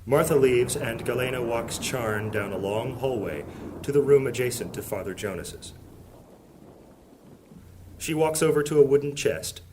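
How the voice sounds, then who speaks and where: A man's voice: mid-range voice, expressive, normal speed, long pauses, darkish tone, a little hiss or hum, natural voice. A man narrating a scripted fantasy audio drama as a solemn storyteller. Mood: solemn, descriptive. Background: plucked guitar music.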